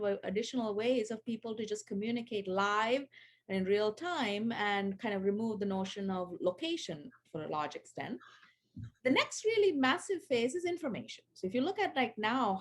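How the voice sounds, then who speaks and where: Woman, 40s, from Sri Lanka; easygoing interview guest, somewhat high voice, filler-heavy. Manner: chatty, many fillers and restarts. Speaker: guest, AI education founder. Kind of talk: casual interview over video call.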